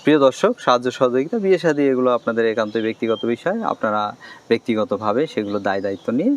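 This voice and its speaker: A Bangladeshi man works as an interviewer and host. His pitch is average for a man and his pace is fast. He speaks in the formal style of a presenter introducing and questioning a guest. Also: lively intonation, a little hiss or hum.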